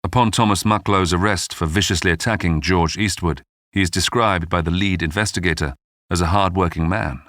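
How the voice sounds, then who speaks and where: A man narrating a true-crime history documentary in a scripted, dramatic storytelling style with measured delivery. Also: sits low, fairly even intonation, a bit bright, no echo, clean studio sound.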